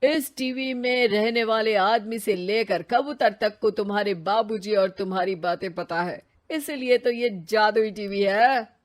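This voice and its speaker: A woman voicing a talkative, cheeky male magic television in a cartoon story, teasing the family in a slow, chatty manner. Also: mid-range voice, lightly acted. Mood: boastful. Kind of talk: Hindi cartoon voice acting.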